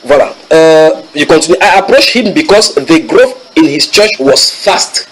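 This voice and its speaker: A man preaching to a church congregation, his voice somewhat high for a man. He reads English passages aloud, translates them on the spot, and teases his listeners as he goes. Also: unhurried, poor audio. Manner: animated, switches into English readings and translates, banters with congregation. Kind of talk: sermon teaching.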